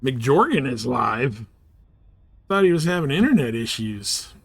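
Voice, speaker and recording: Man, game streamer, casual live chat, easygoing, mid-pitched voice, muttering to himself. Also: big pitch swings, unhurried, gravelly, breathy edge, no room sound.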